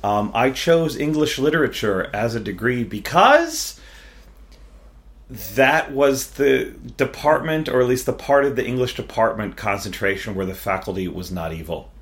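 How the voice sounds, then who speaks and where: A man's voice: medium pitch, expressive, moderate pace. A man hosting a casual podcast conversation, talking in a wry, rambling, self-deprecating way. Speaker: podcast host.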